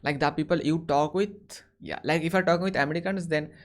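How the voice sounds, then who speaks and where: A man's voice: on the high side, fairly quick. Young man, Indian accent, hesitant, repeats himself, casual online chat. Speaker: host.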